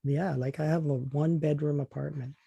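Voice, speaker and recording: A man's voice: fairly high pitch, steady tone, brisk, gravelly, mellow, slightly rough, no room sound, dull audio. A man from Puerto Rico hosting a comedy podcast, asking questions and teasing with dry jokes. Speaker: podcast host. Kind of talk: casual comedy podcast chat.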